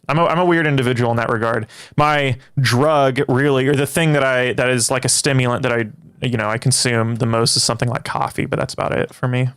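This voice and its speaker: A young man, a native Greek speaker, chatting with his livestream audience in a rambling, halting way with many restarts. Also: medium pitch, fairly bright tone, clear, resonant tone. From Greece. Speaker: livestream host. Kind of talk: casual livestream chat.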